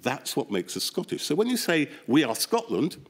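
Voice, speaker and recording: A man giving expert testimony at a public inquiry, explaining at length in an animated, discursive way with wry asides. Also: medium pitch, normal speed, breathy edge. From England. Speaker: expert witness, academic psychologist. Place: public inquiry hearing room.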